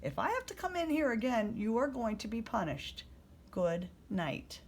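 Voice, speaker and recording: A woman's voice: fairly high pitch, big pitch swings, normal speed, slight background noise. A woman voicing a firm, dismissive mother who scolds her young son in a children's storybook. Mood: exasperated.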